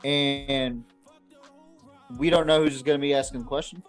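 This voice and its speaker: A man's voice: medium pitch, steady tone, moderate pace, soft background music. A man co-hosting a podcast, chatting casually to viewers in a blunt, swearing, rambling way. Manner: profane, blunt. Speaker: podcast co-host.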